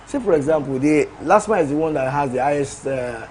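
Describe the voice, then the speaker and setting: A man explains procedures as an agency official in a measured, explanatory way. His voice is mellow, and faint noise sits behind it. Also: on the high side, expressive. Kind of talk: news interview answers.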